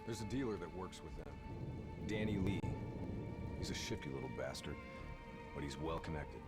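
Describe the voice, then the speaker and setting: An actor playing a brash, persuasive special forces operative in a video game cutscene. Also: male voice, very deep voice, animated, moderate pace. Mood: sly, confident. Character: gruff, smooth-talking male special forces operative. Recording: music behind the voice.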